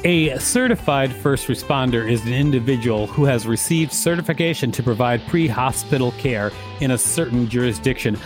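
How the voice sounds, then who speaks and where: A man's voice: mid-range voice, moderate pace, hardly any pauses. Man reading aloud over guitar music. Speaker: podcast co-host and reader. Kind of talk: scripted encyclopedia reading.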